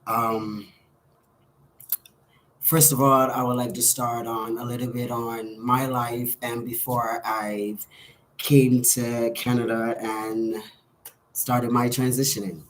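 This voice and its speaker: A man's voice: mid-range voice, flat delivery, slow pace, a little hiss or hum. A man in his thirties with a Bahamian accent tells a personal story to camera in a candid, hesitant way. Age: 30s. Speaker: vlogger.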